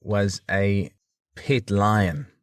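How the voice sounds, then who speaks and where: A man's voice: on the low side, level tone, very slow, clear, resonant tone, no echo, clean studio sound. A man in his thirties from South Africa, speaking with a South African accent as a friendly, chatty podcast guest with a self-deprecating sense of humor. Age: 30s. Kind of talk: casual interview.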